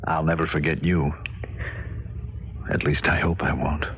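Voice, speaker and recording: A man's voice: flat delivery, unhurried. An actor playing a subdued, tender man in a 1970s radio drama, with an understated, resigned delivery. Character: subdued, tender man parting from a brief love. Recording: dull, noisy vintage radio recording, vehicle rumble.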